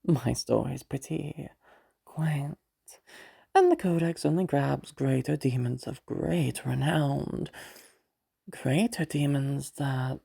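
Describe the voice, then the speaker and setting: Husky, low demon seductress played by a woman. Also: mellow, heavily acted. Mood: smug, bargaining. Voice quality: husky, creaky, breathy voice dropping into whispers. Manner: slow, teasing, halting delivery with pauses. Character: teasing, bargaining female demon of lust, sly and patient. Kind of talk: roleplay audio acting.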